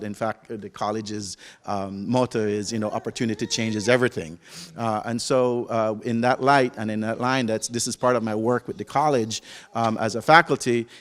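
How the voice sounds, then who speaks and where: A man from Belize, a college faculty member, answering an interview earnestly with halting restarts. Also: medium pitch.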